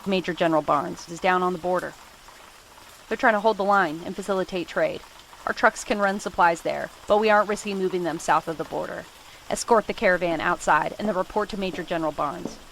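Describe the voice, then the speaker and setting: A woman's voice: fairly low pitch, a little fast, gravelly, fairly bright tone, a little hiss or hum, low-quality recording. An actress playing a stern female army colonel who gives firm, clipped orders. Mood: commanding.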